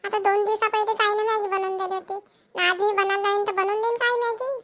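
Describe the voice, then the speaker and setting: Tiny spoiled cartoon girl, super squeaky high n sharp, begging, vidarbha marathi accent. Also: flat delivery, fast talker. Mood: coaxing. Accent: Maharashtrian, Vidarbha Marathi. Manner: childlike, pleading. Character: pampered, pleading little village girl. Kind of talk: cartoon family comedy dialogue.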